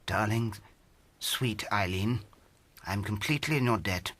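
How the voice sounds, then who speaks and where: A man's voice: on the low side, unhurried, fairly bright tone. An actor playing an impassioned, gallant English amateur astronomer in a radio drama, emphatic and old-fashioned in his speech. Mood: indignant. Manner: old-fashioned upper-class turns of phrase, emphatic.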